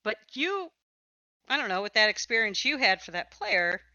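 A woman's voice: mid-range voice, big pitch swings, normal speed, pushed and bright, no room sound, lo-fi sound. A woman hosting a conversational interview about tabletop games, speaking in an emphatic, opinionated way. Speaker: podcast host and interviewer.

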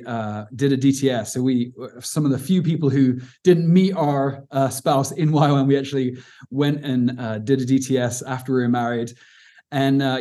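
A man's voice: medium pitch, dark tone. A man from Cornwall with an English accent, giving an earnest, informal teaching talk on prayer as a guest speaker, with frequent fillers and restarts. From Cornwall, southwest England.

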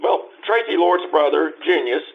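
A man's voice: on the high side, normal speed, creaky, phone-quality sound. A man playing a pompous, bossy magazine publisher with a grand declaiming manner, in an old-time radio style. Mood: brisk, commanding.

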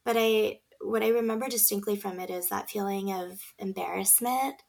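Woman in her 30s, guest on a podcast, gravelly edge, earnest and thoughtful, lots of ums. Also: medium pitch, moderate pace, darkish tone. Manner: earnest, reflective, many fillers. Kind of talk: casual conversation.

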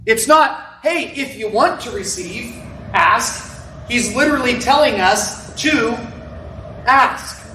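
A man preaching a sermon to his congregation without a microphone, talking in a loud, conversational way with asides to the people. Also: very high voice, lively intonation, takes it slowly, a little hiss or hum. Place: church sanctuary. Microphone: no microphone, recorded from a distance. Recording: roomy, distant church recording.

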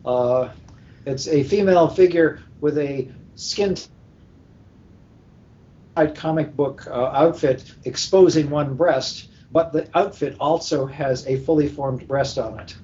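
A man's voice: on the high side, takes it slowly, dark tone. An older man talking casually as a panel guest, rambling through anecdotes like a seasoned book editor. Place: live convention recording over internet call. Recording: dull, muffled internet call.

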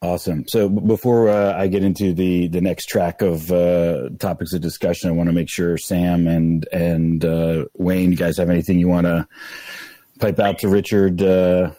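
A man's voice: low-pitched, level tone, moderate pace, dark tone, no room sound. A man hosting a casual podcast, asking loose questions with fillers. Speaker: podcast host.

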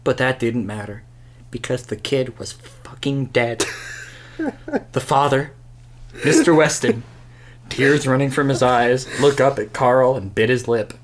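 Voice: medium pitch, unhurried, long pauses, slight background noise. A podcast host reading a crude comic story aloud in a theatrical, amused way. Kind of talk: dramatic comic reading of narration.

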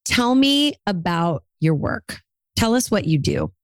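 A woman's voice: medium pitch, sing-song, a little slow, clear, resonant tone, no echo. A woman in her late forties hosting a coaching call, with an upbeat, encouraging manner and playful asides. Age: 40s. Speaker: podcast host and speaking coach.

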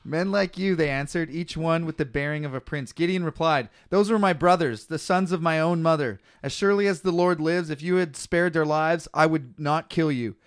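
A man's voice: on the high side, moderate pace, few pauses, smooth. A young man co-hosting a casual podcast, reading Bible passages aloud between joking asides. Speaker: podcast co-host reading scripture.

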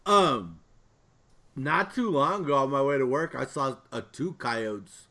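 A man's voice: medium pitch, animated, unhurried, pushed and bright. A man chatting casually as a podcast co-host, telling jokey, rambling stories. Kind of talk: casual chat.

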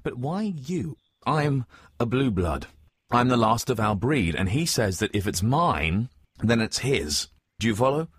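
A man's voice: mid-range voice, sing-song, unhurried, husky, dry recording, studio quality. A man voicing a snobbish, insistent upper-class aristocrat in an improvised comic radio play. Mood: pompous pleading. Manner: pompous, insistent, repetitive.

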